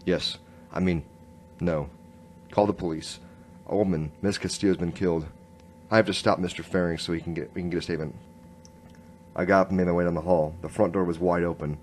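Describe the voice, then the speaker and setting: An actor playing an earnest, halting noir police inspector who narrates his case in a radio drama. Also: deep voice, fairly even intonation, a little mellow, a little hiss or hum, lightly acted. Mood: halting, awkward. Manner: hard-boiled first-person storytelling, halting.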